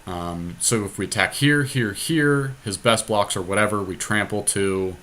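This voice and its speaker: A man's voice: lowish voice, moderate pace, fairly bright tone. A man casually thinking aloud as he co-hosts a card game commentary, in an informal, mildly profane way. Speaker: podcast co-host playing a card game. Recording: amateur capture with faint background noise.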